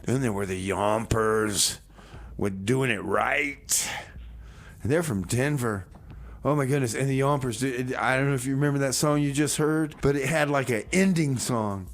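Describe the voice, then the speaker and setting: A man playing an eager, stubborn doomsday survivor and radio host in a casual comedy show, rambling and repeating himself. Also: mid-range voice.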